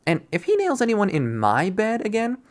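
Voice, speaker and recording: A man's voice: high voice, wildly swooping pitch, moderate pace, voice pushed up. A man voicing a self-pitying young male student in a visual novel, complaining with whiny melodrama. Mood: grumbling.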